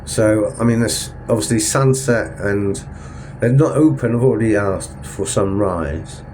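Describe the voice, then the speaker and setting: A man's voice: on the low side, unhurried, a little mellow, slight background noise. A man with a stuffed-up, sniffly nose, chatting in a rambling, self-correcting way while filming a travel vlog from a Ferris wheel cabin at night. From England. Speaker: travel vlogger. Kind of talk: casual vlog narration.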